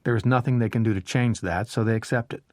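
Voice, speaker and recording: A man's voice: on the low side, steady tone, fast, darkish tone, dry recording. Man with a dry, close narrating voice. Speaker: audiobook narrator. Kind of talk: scripted narration of a history book.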